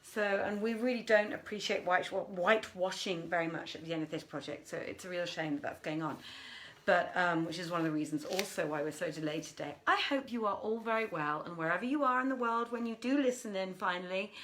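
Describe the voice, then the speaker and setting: A woman's voice: fairly low pitch, a little fast. A woman hosting a casual livestream at home, chatting and muttering to herself as she goes, with an apologetic manner. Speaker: host of a live online reading. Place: home room with desk and piano. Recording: livestream with music playing behind. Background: soft background music.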